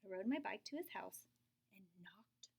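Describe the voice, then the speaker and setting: A woman reading a children's picture book aloud, expressive, with dramatic whispers and sudden jumps in pitch. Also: moderate pace, creaky, husky.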